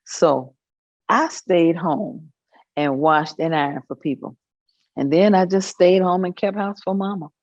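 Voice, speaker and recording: A woman's voice: fairly low pitch, a little slow, a little mellow, no echo. A woman acts out an elderly working-class Southern woman giving testimony on stage. She is plain and matter-of-fact about hard times. The sound is dry and a little dull. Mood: matter-of-fact, wistful. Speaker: stage actress. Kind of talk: staged reading of oral history testimony.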